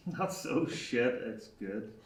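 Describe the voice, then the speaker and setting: An actor playing a laid-back, joking young boyfriend with a Northern Irish accent in a screenplay table read. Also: male voice, medium pitch, animated, drawn-out words. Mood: amused, mocking. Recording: roomy theatre with faint noise.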